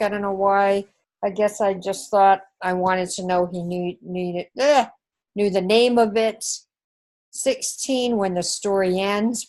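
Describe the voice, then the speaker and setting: A woman teacher with a New Zealand accent, working through the scoring of a test step by step in an online class. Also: medium pitch. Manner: slow, methodical, talks through scoring step by step.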